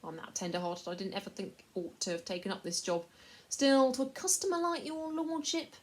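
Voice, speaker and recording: A woman's voice: medium pitch, fairly even intonation, a little fast, natural voice. A woman voicing a greedy, coarse middle-aged male slave trader who talks in a wheedling way.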